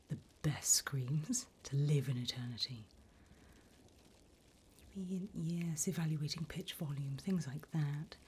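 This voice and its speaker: A woman voicing a politely bureaucratic, wickedly encouraging demon recruiter in a breathy, unhurried ASMR whisper. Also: low-pitched, darkish tone, a little hiss or hum. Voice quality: breathy whisper, mostly unvoiced. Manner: unhurried, polite, dryly businesslike about torment.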